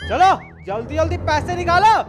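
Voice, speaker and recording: A man's voice: very high-pitched, lively intonation, normal speed, faint music behind. A man voicing a blustering, impatient cartoon bandit who gives menacing commands on a train. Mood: threatening. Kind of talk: exaggerated cartoon dialogue.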